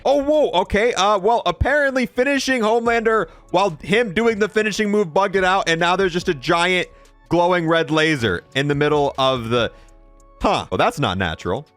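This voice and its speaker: A man's voice: high voice, lively intonation, moderate pace, a bit bright, faint music behind. A man hosting a gaming video with casual, playful showcase commentary.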